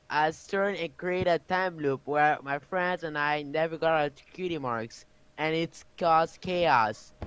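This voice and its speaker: A man voicing an earnest female unicorn in an amateur fan fiction reading, with a halting, read-out delivery. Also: on the high side, a bit bright, slight background noise, poor audio. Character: earnest female unicorn pleading for a warrior's help.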